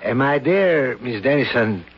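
A courtly foreign grand duke, formal, condescending and insistent, in a mid-pitched voice. It sounds like a dull vintage radio broadcast with muffled highs. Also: male voice, animated, a little slow, slightly rough. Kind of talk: 1970s radio drama acting.

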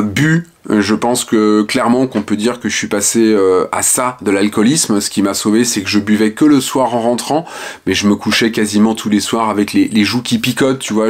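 A man in his fifties from the Ardennes, frankly telling his life story to the camera at home with hesitant fillers. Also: medium pitch. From Ardennes, France. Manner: frank and reflective, many hesitant "euh" fillers. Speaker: author and online speaker. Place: small home room with shelves and lamp.

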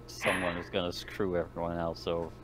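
A man's voice: deep voice, little pitch movement, fairly quick, crowd noise. A man chatting casually as a player in an online tabletop game. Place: online voice call. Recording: clearly noisy voice-chat recording.

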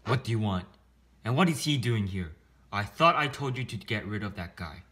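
A man's voice: on the low side, animated, moderate pace, bright, forceful tone. A man voicing an angry, self-important town mayor in a children's storybook read-aloud, blustering and pompous. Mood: blustering anger.

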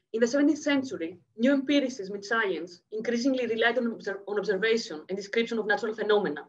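A woman in her thirties with a Greek accent, from Greece, reading a conference paper quickly over a video call. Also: a little high. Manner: fast-talking, checks in with the audience. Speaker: academic speaker. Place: home room, indoors. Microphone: laptop webcam mic, video call. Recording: muffled lo-fi video call audio.

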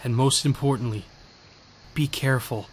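A man playing an anxious, tender estate gentleman in a radio play, earnest and measured. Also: mid-range voice, fairly even intonation, close to an everyday voice. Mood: urgent. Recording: clearly noisy ambience behind voice.

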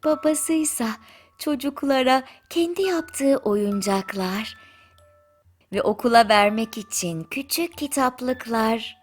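A woman narrating a children's bedtime fairy tale in a gentle, soothing storytelling manner, with deliberate pauses. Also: a little high. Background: music.

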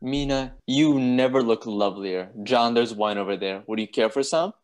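A man playing a courteous, troubled gentleman doctor with polite, formal speech in a radio play. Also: medium pitch, normal speed, lightly acted.

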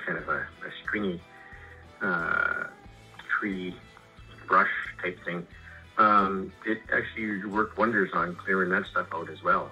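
A middle-aged Canadian man chatting casually and haltingly about his projects over a muffled phone call, rambling and repeating words. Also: deep voice, pushed and bright. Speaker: homeowner and sawmill customer. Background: music.

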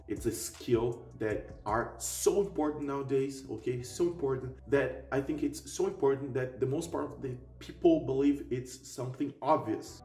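A young man with a Portuguese accent explains things casually and emphatically to viewers, checking in as he goes. His pitch is average for a man. He sounds set back from the mic in an echoey living room, with music faintly behind him. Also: a little mellow. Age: young adult. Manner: emphatic, often tags sentences with "okay?". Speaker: YouTube host. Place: living room at home, indoors. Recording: roomy echo, distant mic, faint music.

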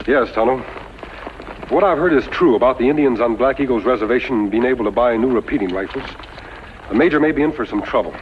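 A man's voice: medium pitch, animated, fast talker, lightly acted. An actor playing an earnest, righteous masked lawman in a 1950s radio western, speaking precisely and declaiming. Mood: earnest, resolute. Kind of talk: 1950s radio drama acting. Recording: muffled, hissy old radio recording.

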